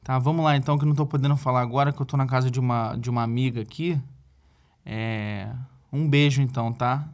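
A man's voice: medium pitch, fairly even intonation, fast, close to an everyday voice. Flustered Brazilian boyfriend, acted by a man, muffled sound. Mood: sheepish. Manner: hesitant, stammering, repeats himself. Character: flustered, needy boyfriend pleading for help by phone. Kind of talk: comedic audio drama, one side of a phone call.

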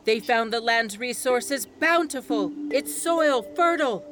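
A performer playing an old, wise village orator who tells a sacred myth in a measured, solemn, ceremonial way for an audio drama. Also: mid-range voice, lively intonation, noisy background, close to an everyday voice. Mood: solemn, reverent.

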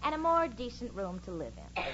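An actress playing a sensible but fretful young roommate in a 1940s radio comedy, with a theatrical manner. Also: female voice, a little high, wildly swooping pitch, fairly quick. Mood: brisk, polite. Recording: muffled, noisy old radio, live audience.

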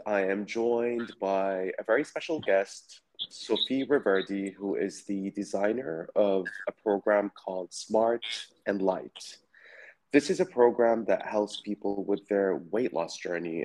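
A man hosting a podcast, his voice hoarse and raspy from the flu, a bit halting as he asks questions. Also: fairly low pitch, a little mellow, rough recording. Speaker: podcast host.

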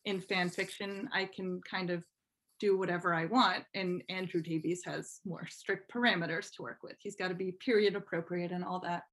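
A woman's voice: mid-range voice, a bit bright. A woman speaking casually as an interview guest, chatty and self-deprecating in an informal way. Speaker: podcast guest, fan fiction writer.

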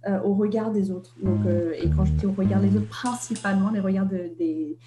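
A young woman from Vietnam, an author and interview guest, talking in a reflective way and often pausing on fillers and restarts. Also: medium pitch, steady tone, warm tone, roomy sound, soft background music. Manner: hesitant, many fillers and restarts.

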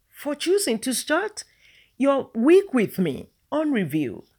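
A woman whose first language is Yoruba leads listeners through a devotional message and prayer. She speaks slowly and earnestly and greets her audience as friends. Her voice is breathy and fairly high, with a lively rise and fall. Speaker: devotional host.

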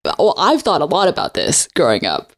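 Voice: medium pitch, wildly swooping pitch, a bit bright. A speaker who grew up in Hong Kong, chatting casually and readily jumping in to push back. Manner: engaged, quick to interject and push back. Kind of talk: casual conversation.

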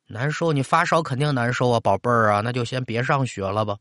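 A man's voice: mid-range voice, brisk, a bit bright, no echo, clean studio sound, close to an everyday voice. A man voicing a bluff old grandfather, grumbling and coaxing with folksy affection in a told story. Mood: dismissive, fond. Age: older.

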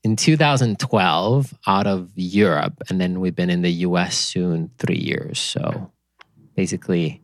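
Deep-voiced Finnish man, relaxed and rambling. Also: unhurried, no echo, studio quality. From Finland. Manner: relaxed, rambling with fillers and restarts. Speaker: company founder, podcast guest. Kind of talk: casual interview chat.

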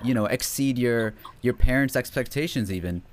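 A man's voice: mid-range voice, moderate pace. A man hosting a casual interview, curious and reflective as he asks questions. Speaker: host.

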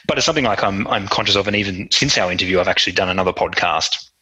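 A man's voice: low-pitched, rapid-fire, bright, forceful tone, slightly rough, poor audio. A man as a podcast guest, speaking reflectively and hesitantly with many fillers. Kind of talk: casual interview conversation.

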